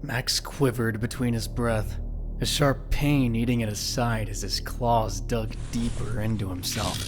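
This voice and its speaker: A man's voice: medium pitch, fairly even intonation, unhurried. Man narrating a drama over music. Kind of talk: scripted dramatic story narration.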